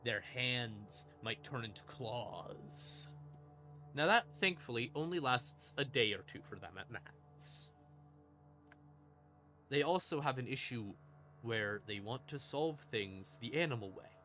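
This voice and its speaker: A man streams a casual lore explainer in a bright, forceful voice. He takes his time, breaking thoughts into short, theatrical phrases with deliberate pauses. Faint music plays behind him. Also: medium pitch, expressive. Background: ambient music in the background.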